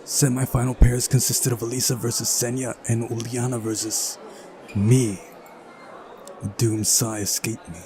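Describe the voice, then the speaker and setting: A man's voice: mid-range voice, flat delivery, moderate pace, crowd noise. A man doing a visual novel playthrough, switching between reading narration aloud and casual commentary.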